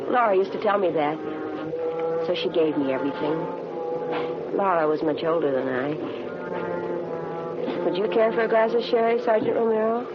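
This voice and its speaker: A woman's voice: on the low side, natural voice. An actress playing a nervous, needy murder suspect in her late thirties in a 1950s radio drama, rambling and repeating herself pleadingly. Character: nervous, needy 38-year-old woman murder suspect, unraveling. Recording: muffled vintage radio broadcast recording.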